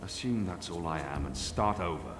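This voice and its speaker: A man's voice: sits low, expressive, normal speed. An actor voicing a weary former apostle turned swordsman in a video game, speaking bluntly and tersely. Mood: dry. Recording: game audio with echo and music.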